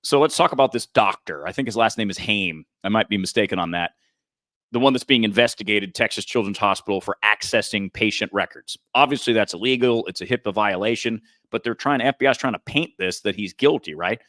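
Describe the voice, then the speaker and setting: A man's voice: fairly low pitch, a little fast, a bit bright, no room sound, clean studio sound. A man co-hosting a podcast, explaining in an earnest, casual political-talk manner. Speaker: podcast co-host.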